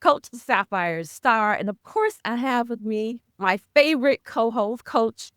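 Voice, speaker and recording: Woman podcast host and coach, upbeat, unhurried delivery, dry room. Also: a little high, animated, fairly bright tone, dry recording. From Mexico. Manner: upbeat, promotional, rhetorical questions. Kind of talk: podcast hosting.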